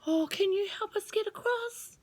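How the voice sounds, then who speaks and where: A woman's voice: extremely high pitch, moderate pace, a little mellow, pitched above the performer's own voice. A woman voicing a polite, curious little girl in a children's storybook. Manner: earnest, questioning.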